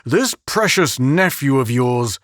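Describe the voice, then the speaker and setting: A man's voice: medium pitch, big pitch swings, slow, a bit bright, no room sound, studio quality. A man voicing a weary, harried male spaceship captain. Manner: weary and anxious.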